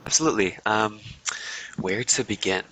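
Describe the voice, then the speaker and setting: A man's voice: lowish voice, normal speed, fairly bright tone, slight background noise, low-quality recording. A young American man from California, talking casually as an interview guest, with many ums and restarts. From USA.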